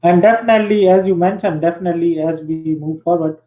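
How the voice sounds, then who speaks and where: A man's voice: fairly high pitch, level tone, fast talker, warm tone, poor audio. A man in a casual conversation who talks hesitantly and leans on fillers.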